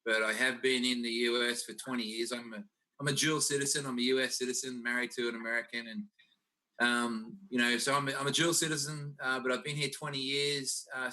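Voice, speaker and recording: Bright-voiced Australian man. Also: medium pitch, level tone, fairly quick, low-quality recording. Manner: rambling, restarts sentences. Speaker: head basketball coach. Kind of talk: casual self-introduction.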